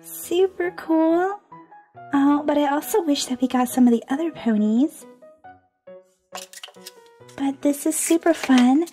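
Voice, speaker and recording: Bubbly high-voiced woman losing her voice. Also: a little mellow. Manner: bubbly and gushing, repeats "super awesome", giggles often. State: under the weather, losing her voice. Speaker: toy unboxing host. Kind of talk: cheerful toy unboxing narration. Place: indoors at a tabletop toy display.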